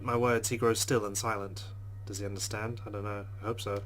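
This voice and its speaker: A man's voice: fairly low pitch, flat delivery, fairly quick, fairly bright tone, faint music behind. A man playing a visual novel, reading its narration aloud and adding casual, joking asides. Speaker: let's play gamer. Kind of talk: reading game text aloud with commentary.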